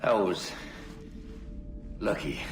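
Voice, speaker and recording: An actor playing a bitter, betrayed assassin in a video game drama, grave and accusing. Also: male voice, sits low, sing-song, extremely slow, reverberant, faint music behind, exaggerated voice. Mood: bitter, dying. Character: bitter, betrayed male assassin brother-in-arms.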